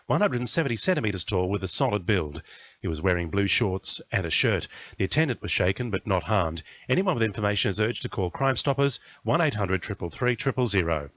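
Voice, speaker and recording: A man with an Australian accent reads a news bulletin in a lowish voice. The delivery is scripted radio newsreading, with a slightly dull sound to the audio. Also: a bit bright, dry recording.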